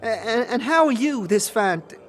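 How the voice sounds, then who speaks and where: A man's voice: extremely high pitch, lively intonation, moderate pace, faint music behind, voice pushed up. A man voicing a giggly, cheerful young woman who stumbles over her words.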